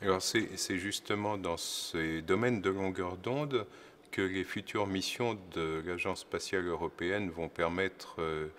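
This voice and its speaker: A man's voice: low voice, fairly bright tone. A man speaking as an astrophysicist, giving an unscripted educational explanation in a didactic way, searching for his words as he goes.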